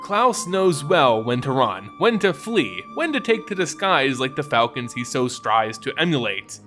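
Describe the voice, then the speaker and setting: A male narrator reads a story with theatrical suspense. His fairly high voice rises and falls expressively, with deliberate phrasing and music underneath. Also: a bit bright. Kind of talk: scripted dramatic story narration.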